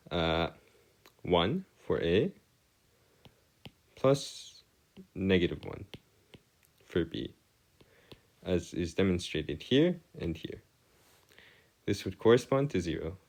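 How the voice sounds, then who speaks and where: A man tutoring in an improvised explanatory lesson, with formal wording and halting, self-correcting delivery. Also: low voice, a little mellow. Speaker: math tutor.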